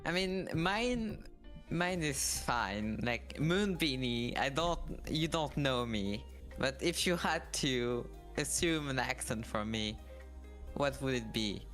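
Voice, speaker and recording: Laid-back male co-streamer, short casual replies, average pitch, soft music in the background. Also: lively intonation, slow. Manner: easygoing, brief replies. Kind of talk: casual livestream chat.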